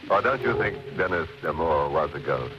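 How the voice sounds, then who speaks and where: An actor playing a gleefully macabre radio horror host in sardonic, mock-friendly, chuckling 1940s style. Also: male voice, extremely low pitch, animated, normal speed. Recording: dull 1940s radio recording with music.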